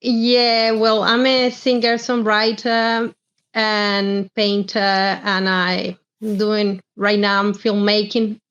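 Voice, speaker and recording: Slow-speaking woman on a dull call line. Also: mid-range voice, little pitch movement, a bit bright, clear, resonant tone. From Cuba. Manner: halting, broken English. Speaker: singer and filmmaker. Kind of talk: casual panel talk. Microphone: video call.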